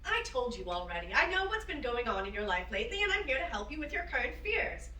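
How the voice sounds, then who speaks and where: A woman's voice: a little high, very animated, fast, sharp tone. A woman playing a spirited, encouraging woman aviator in a staged play reading, with an earnest, emphatic manner. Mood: firm, knowing. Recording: roomy, distant microphone.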